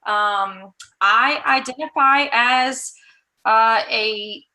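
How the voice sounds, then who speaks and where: A woman's voice: on the high side, drawn-out words, sharp tone, low-quality recording. A woman educator for whom English is not her first language, introducing herself in a friendly, hesitant way. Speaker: educator, panelist.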